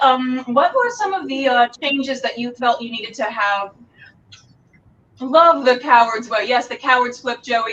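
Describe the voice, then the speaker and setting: Casting: woman livestream host, bright and very animated, effusive, casual interview chat. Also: a little high. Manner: effusive, exclamatory. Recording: dull, slightly distant sound.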